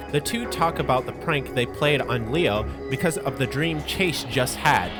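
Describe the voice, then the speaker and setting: A man giving a scripted, informal story recap with wry, joking asides. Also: mid-range voice. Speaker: video narrator recapping a visual novel. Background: music under the voice.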